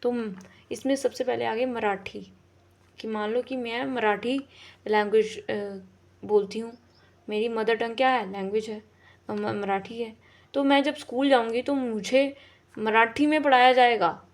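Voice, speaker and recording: Slightly high-voiced woman teaching a lesson. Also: steady tone, a little slow. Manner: repeats "ठीक है" and rephrases often, with fillers. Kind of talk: exam-prep lesson explaining in Hindi mixed with English.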